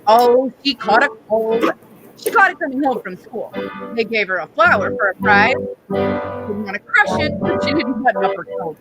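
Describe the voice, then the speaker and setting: A woman's voice: on the high side, lively intonation, moderate pace, music in the background, lightly acted, poor audio. A woman playing a cheerful, doting wife and mother in a radio play, chatty and attentive. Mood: concerned. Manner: chatty, bubbly, concerned. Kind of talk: old-fashioned radio play acting.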